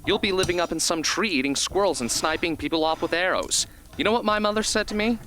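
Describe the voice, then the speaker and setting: Man playing an earnest, bright-voiced teenage boy. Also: high-pitched, quick pace, slight background noise. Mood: agitated. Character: earnest, self-deprecating teenage boy tribute, quietly defiant. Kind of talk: amateur radio drama acting.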